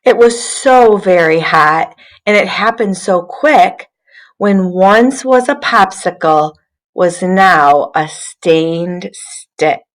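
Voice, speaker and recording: Slow-paced woman teaching young children. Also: fairly low pitch, poor audio. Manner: instructive, asks questions and prompts listeners. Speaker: literacy coach. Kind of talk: interactive read-aloud lesson for young children.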